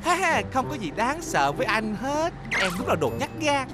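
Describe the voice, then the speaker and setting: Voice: high voice, sing-song, normal speed. An actor voicing a cocky young boy bus who hides fear, in a dubbed children's cartoon. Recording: music behind the voice.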